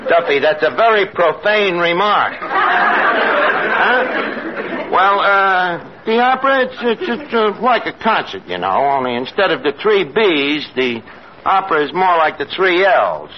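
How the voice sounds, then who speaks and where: A man's voice: high-pitched, big pitch swings, a little slow, over a noisy crowd. An actor playing a brash, streetwise tavern manager who mangles his words in a 1950s radio comedy. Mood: wisecracking. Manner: streetwise, malapropisms, wisecracking. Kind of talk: 1950s radio comedy acting before a live audience. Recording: old dull radio recording, loud hiss, audience.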